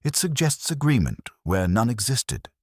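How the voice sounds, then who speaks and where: A man's voice: medium pitch, sing-song, normal speed, dry recording, clean studio sound, voice pushed up. A man voicing a prim, tartly witty matron in a mystery story, arch and formal. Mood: tartly knowing.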